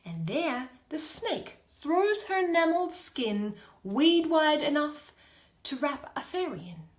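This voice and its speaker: A woman plays a commanding fairy king in a verse play, stately and lyrical. The words come slowly with a wide, musical rise and fall, in a roomy space. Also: high-pitched, sing-song, voice pushed up, exaggerated voice. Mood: lyrical, dreamy. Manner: lyrical, measured. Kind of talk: dramatic Shakespeare verse reading.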